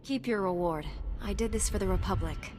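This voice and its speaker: A woman playing a calm, dutiful Jedi Knight heroine in a video game, with an earnest, composed delivery. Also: medium pitch, brisk, a little hiss or hum, close to an everyday voice. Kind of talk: scripted video game dialogue.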